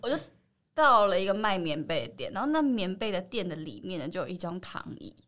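A woman's voice: fairly low pitch, very animated, brisk, a bit bright. A woman with a Taiwanese Mandarin accent, an actress guesting on a show and telling anecdotes in casual chat.

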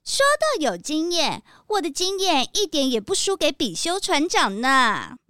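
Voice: sits high, sing-song, a little slow, pushed and bright, no echo, full character voice. A performer voicing a vain, showy young lion who works as a ship's navigator, boastful and gushing, in a children's audio drama. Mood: proud, airy.

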